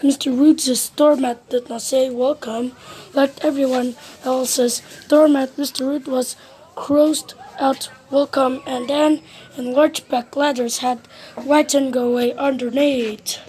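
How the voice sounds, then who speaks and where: A woman reading a children's storybook aloud as narrator, in an expressive, deliberate way. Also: sits high, low-quality recording.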